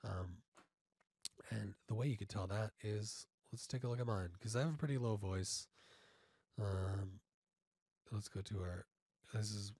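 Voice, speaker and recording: A man informally instructing step by step in a software tutorial, halting and often checking in, on a close mic. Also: on the low side, breathy edge, dry recording. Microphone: close studio mic through audio interface.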